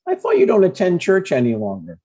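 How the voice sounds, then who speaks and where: A man's voice: high-pitched, wildly swooping pitch, fairly quick, mellow, full character voice. An older man playing a blunt, stubborn but affectionate father in a comedy table read, over dull stream audio. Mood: mild, curious. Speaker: amateur actor.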